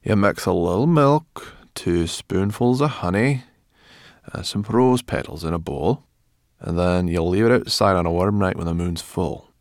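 A man voicing a bossy, sharp, impatient furry female creature in a storybook, needling and exclaiming. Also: sits low, unhurried, no echo, clean studio sound. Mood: drowsy, smug.

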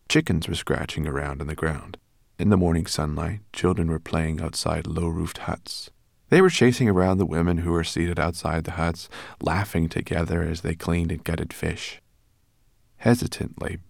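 A man narrating an audiobook in an expressive, animated storytelling manner. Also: sits low, creaky, no echo, studio quality.

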